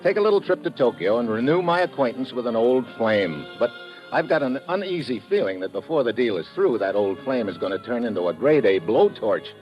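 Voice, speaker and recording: A man's voice: medium pitch, sing-song, brisk, lo-fi sound, close to an everyday voice. A man playing a tough, wisecracking American government agent in a hard-boiled, wry 1950s radio drama style. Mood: businesslike. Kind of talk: 1950s radio drama acting and first-person narration. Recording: old radio broadcast with music.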